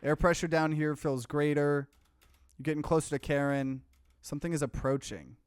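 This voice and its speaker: A man with a fairly high voice narrates a game for a let's play. He reads on-screen text plainly, then slips into casual, offhand commentary. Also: moderate pace, clean tone, no room sound.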